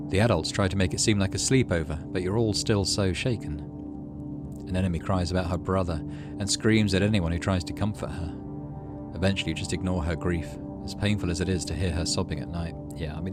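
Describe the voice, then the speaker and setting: A middle-aged British man hosting a gaming video, reading story text aloud and adding casual commentary. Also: deep voice.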